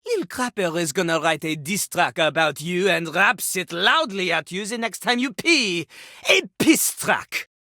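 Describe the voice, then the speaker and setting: Boastful toilet rapper, video game character, bright forceful voice, hugely animated pitch, easily outraged, mocking. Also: female voice, unhurried, no room sound, clean studio sound, heavily acted. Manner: brash, mocking, grandiose, speaks of self in third person.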